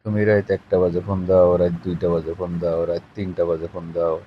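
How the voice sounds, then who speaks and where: A man acting as a faraway boyfriend on a private late-night call. His voice sits fairly low, and he talks casually, with probing, suggestive teasing. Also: flat delivery, a little fast, highs cut off, a little hiss or hum, lightly acted. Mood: reassuring. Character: teasing male lover living away from his partner. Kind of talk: intimate late-night phone chat.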